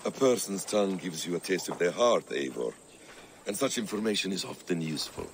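An actor playing a shrewd, brooding warrior-scholar in a video game, speaking in a measured, sardonic, probing way. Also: male voice, low-pitched, expressive, slight background noise. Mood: wry. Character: wry, shrewd, brooding male warrior-scholar, a sly schemer. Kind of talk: video game cutscene acting.

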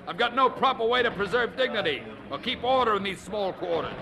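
An actor playing a gruff, grumbling ship captain with rough working-class speech in a radio drama. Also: male voice, high-pitched, lively intonation, a little fast, noisy background. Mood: surly.

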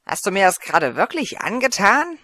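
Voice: medium pitch, sing-song, normal speed, bright, forceful tone, no room sound. The apologetic, dreamy monster sidekick in a cartoon game parody, voice swinging up and down as an actor plays it. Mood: meek, apologetic. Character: polite, meek, dreamy creeper monster. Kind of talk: cartoon fandub acting.

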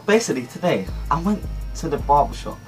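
A man's voice: fairly high pitch, brisk, faint music behind. Teen boy. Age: teens. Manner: chatty, animated hand gestures, slangy. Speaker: vlogger. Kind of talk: casual storytime to camera. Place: small cluttered bedroom indoors.

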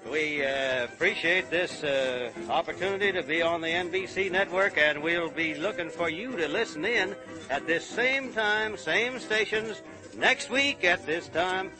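A man's voice: high voice, measured pace, poor audio. A man hosting a 1930s country music radio show in an informal, folksy way. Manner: informal, folksy, calling out to performers. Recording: old 1930s radio broadcast with loud music. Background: country string music.

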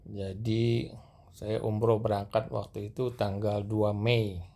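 A middle-aged man narrating a walking tour through a large mosque and onto its rooftop at night, gently addressing his viewers as he films. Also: fairly low pitch, flat delivery, slow pace. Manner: gentle, repeatedly addressing viewers as sir and madam. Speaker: vlogger guiding viewers. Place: large mosque, indoor escalators then open rooftop at night.

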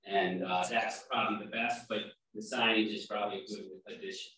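Low voice guy at a board meeting, far from mic, lots of echo, explaining casually. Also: fairly even intonation, brisk, sharp tone. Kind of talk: answering at a school board meeting. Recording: echoey room audio from far off.

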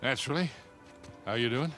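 A man's voice: mid-range voice, fairly quick, music in the background, natural voice. An actor playing an easygoing, wry, fatherly older adventurer in a video game. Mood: wry, relieved. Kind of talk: cinematic video game acting, casual.

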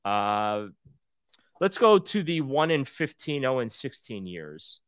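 A man's voice: medium pitch, very animated, slow pace, a bit bright, clean tone, no echo, studio quality. A young man co-hosting a sports podcast from home, earnestly reading out statistics while nearly losing his voice. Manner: earnest, reading stats, defensive. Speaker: podcast co-host. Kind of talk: casual sports podcast banter. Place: small home room with display shelves. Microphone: earbuds and desk microphone on video call.

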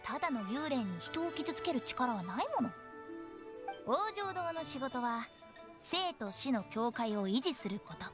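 A woman's voice: on the high side, big pitch swings, loud music behind the voice. A woman voicing an eccentric, playful teenage girl funeral director in an anime game, theatrical and teasing. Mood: calm, explanatory. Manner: theatrical, teasing, switching to measured solemn explanation.

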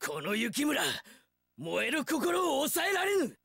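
An actor playing a young, hot-blooded, loyal samurai in an action game, bellowing earnestly in archaic warrior speech. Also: male voice, very high-pitched, wildly swooping pitch, slow, gravelly, fairly bright tone, no room sound, clean studio sound, heavily acted. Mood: fiery resolve. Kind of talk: Japanese action game voice acting.

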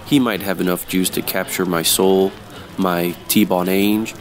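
Man playing a low-pitched hard-boiled detective. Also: measured pace, a little hiss or hum. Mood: sardonic. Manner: deadpan, slangy. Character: wisecracking hard-boiled male detective, sardonic and unfazed. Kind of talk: old-time radio noir narration.

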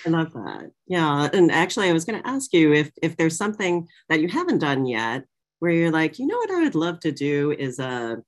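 A middle-aged woman with a low voice hosts an interview on a video call from a small office. She speaks conversationally, piecing her questions together a bit hesitantly. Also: sing-song, a little fast. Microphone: computer mic on video call.

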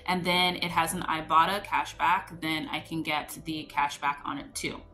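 A woman's voice: low-pitched, fairly even intonation, moderate pace, pushed and bright, faint music behind, low-quality recording. A woman in her thirties chatting to camera about stocking her pantry, explaining with her hands and laughing between points. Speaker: homestead vlogger. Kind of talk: casual chat to camera. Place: home room, later a grocery store and kitchen counter.

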